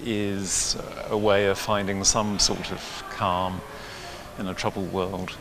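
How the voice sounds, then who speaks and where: Slow, low, flat-voiced man. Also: noisy background. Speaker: visitor interviewed. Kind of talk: interview answer. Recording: clear background church ambience.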